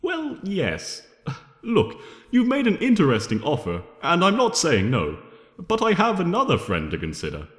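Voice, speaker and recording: A man's voice: fairly high pitch, wildly swooping pitch, normal speed, a bit bright, pitched above the performer's own voice. A man voicing a flippant, theatrical male chaos creature from a cartoon, glib and playful, in a storybook reading. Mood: sheepish. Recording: long reverb.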